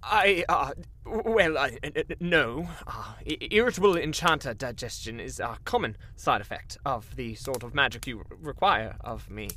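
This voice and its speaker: A man's voice: mid-range voice, lively intonation, normal speed, bright, forceful tone. A man playing a nervous, bumbling, obsequious enchanter who stammers and fawns in a fantasy audio drama. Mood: apologetic. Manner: stammering, fawning, flustered.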